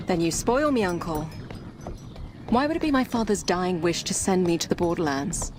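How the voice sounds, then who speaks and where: Woman acting as a wry young blind princess, lowish voice. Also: animated, normal speed, background noise, natural voice. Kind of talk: dramatic audio game narration and dialogue.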